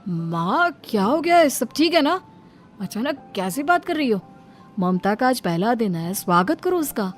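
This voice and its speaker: A woman voicing a dutiful, earnest son of thirty in an animated moral story. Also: on the high side, a little slow. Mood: puzzled, urging. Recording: music behind the voice. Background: background music.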